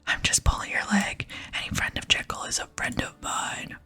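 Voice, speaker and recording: A woman's voice: normal speed, sharp tone, lightly acted. Woman whispering as a blunt barbarian trainer. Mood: teasing, friendly. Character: tough, teasing barbarian strength trainer, motivational and blunt. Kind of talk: whispered ASMR reading of game dialogue.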